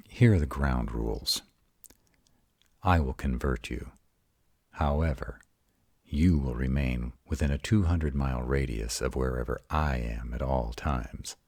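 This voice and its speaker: A man voicing an arrogant, commanding vampire in an audio roleplay, speaking in a formal, measured and stern manner. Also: rumbling low, a little mellow, no room sound, clean studio sound, exaggerated voice.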